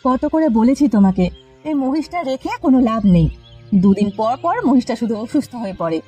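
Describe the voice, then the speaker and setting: A woman's voice: a little high, expressive, a little fast, mellow, soft background music, lightly acted. A woman voicing a domineering, resentful village wife in a cartoon folk tale, nagging and threatening.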